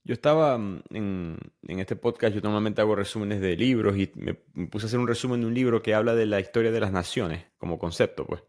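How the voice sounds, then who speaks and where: A man's voice: on the low side, a little fast, few pauses, a little mellow. A young man from Venezuela hosting a podcast, chatting conversationally and often restarting his sentences. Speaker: podcast host. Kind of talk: casual podcast interview.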